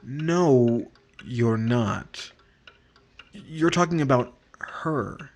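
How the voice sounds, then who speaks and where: An actor playing a brooding, misunderstood husband, wounded and jealous, with hesitant delivery in a 1950s-style radio romance. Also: male voice, mid-range voice, animated, drawn-out words, exaggerated voice. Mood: defensive.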